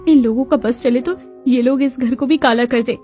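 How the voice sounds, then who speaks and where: A woman voicing a put-upon young bride in a family cartoon, expressive and dutiful, given to muttering. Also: high voice, moderate pace, faint music behind, natural voice. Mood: exasperated.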